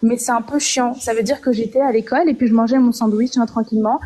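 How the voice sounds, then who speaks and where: Hesitant young woman with a mellow voice. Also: a little high, steady tone, fast. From Algeria. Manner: hesitant, frequent filler sounds and restarts. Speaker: caller. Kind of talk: personal testimony on a call-in show.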